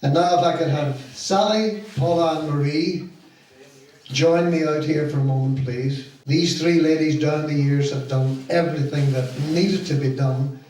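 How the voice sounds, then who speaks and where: A middle-aged or older man with a Donegal Irish accent gives an informal, deliberate thank-you speech to an audience as a pantomime director. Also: mid-range voice, darkish tone. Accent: Irish, County Donegal. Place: stage of a community hall. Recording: very echoey hall, distant mic, faint noise.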